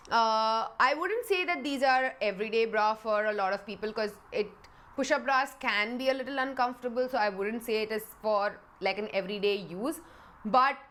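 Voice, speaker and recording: A woman's voice: medium pitch, fairly bright tone. A young woman in her twenties, a little nasal and congested, chatting frankly to her vlog audience and laughing often. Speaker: beauty vlogger. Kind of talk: casual chat to camera. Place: home in Dubai.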